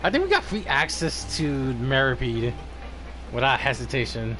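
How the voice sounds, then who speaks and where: A man's voice: medium pitch, big pitch swings, moderate pace, pushed and bright, soft background music. A man giving casual live gameplay commentary, informally muttering to himself and swearing. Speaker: game streamer.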